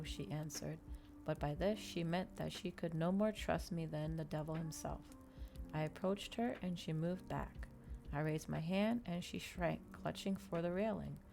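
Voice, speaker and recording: A woman reading a novel aloud in a soft, measured ASMR storytelling style. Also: fairly low pitch, gravelly.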